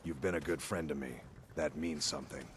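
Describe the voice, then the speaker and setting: Gruff fantasy game dog breeder, extremely low voice, understated, loyal, faint noise. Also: male voice, fairly even intonation, brisk. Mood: gruff, sincere. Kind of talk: fantasy video game acting.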